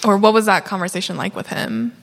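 Woman moderator, gravelly texture, gentle questioning. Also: mid-range voice, expressive, quick pace. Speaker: panel moderator. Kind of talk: moderating a panel.

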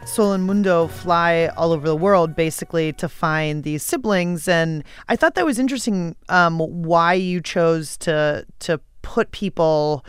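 A woman interviewer asking questions in a conversational, measured style. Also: on the low side, clean tone, no echo, soft background music.

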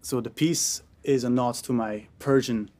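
Man, young adult, from Austria, cellist and composer, informal between-pieces talk, fillers and restarts, mid pitch. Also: fairly even intonation, measured pace, mellow. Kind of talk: speaking between pieces at a concert.

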